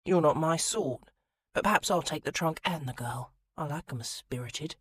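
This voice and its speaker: A woman voicing a crude, menacing male highwayman in an audiobook, coarse and slow. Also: fairly bright tone, no echo.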